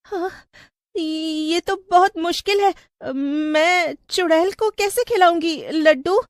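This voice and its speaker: Super high young village wife, woman acting it, cartoon story, earnest and plaintive, dry sound. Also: slow, no echo. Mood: distressed, determined. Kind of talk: animated Hindi story acting.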